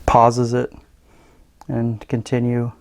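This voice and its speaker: A man gives a casual hands-on product demo. His mid-pitched voice has a gravelly fry to it. He speaks in a halting, folksy, understated way. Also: completely flat delivery, background noise. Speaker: radio gadget reviewer.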